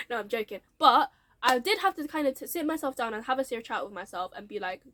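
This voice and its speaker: A woman's voice: on the high side, expressive, fast, fairly bright tone. A young adult woman with a nasal, blocked-nose voice, telling her career story to camera in a chatty, frank way and laughing often. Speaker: vlogger.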